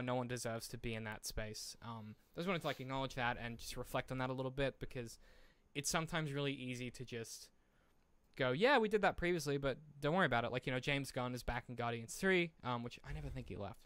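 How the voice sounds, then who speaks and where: Man, fast talker, smooth clear tone, casual podcast co-host, rambling, close dry sound. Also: medium pitch, a bit bright, dry recording. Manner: rambling, discursive, casual swearing. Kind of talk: casual conversational podcast chat.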